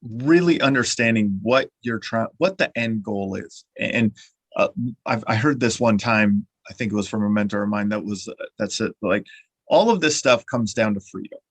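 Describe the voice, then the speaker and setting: A man's voice: lowish voice, normal speed, no echo. Rambling podcast host man, dry sound. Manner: rambling and repeats his words, many "uh" restarts. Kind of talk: conversational interview.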